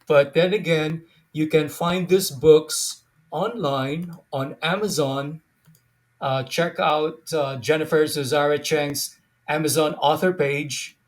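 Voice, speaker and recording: A man hosting a casual interview, speaking in an unhurried, halting way with frequent pauses. His voice sits a little high for a man. He leans on fillers as he gathers his thoughts. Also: steady tone. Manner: slow, halting, many fillers and restarts. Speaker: interview host.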